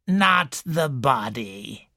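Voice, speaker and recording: A wheezing, domineering old woman drags out every word slowly and coldly, as a male narrator puts her on. Her voice has a strained, airy texture and swings widely in pitch. It sounds close and dry, with no room around it. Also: animated, bright, forceful tone, no echo, heavily acted. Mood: cold, controlling. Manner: slow, cold, controlling. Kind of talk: audiobook character reading.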